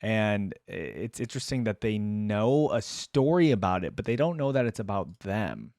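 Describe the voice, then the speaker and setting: A man hosting a reaction video, giving casual, musing commentary in a halting way. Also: on the low side, sing-song, a little mellow, no room sound, studio quality. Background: faint music.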